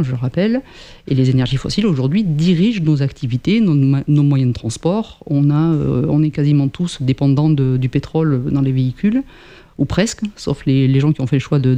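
A man's voice: mid-range voice, brisk, dark tone. Hesitant French man in his fifties. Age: 50s. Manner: hesitant, many fillers and restarts. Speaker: guest, climate association member. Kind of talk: interview answers.

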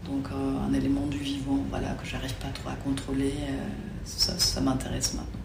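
A woman, a Spanish speaker, talking casually and haltingly as an interviewee. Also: extremely low pitch, monotone. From Mexico. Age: 40s. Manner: hesitant, halting. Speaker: interviewee, photographer. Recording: roomy, echoing, clear background noise.

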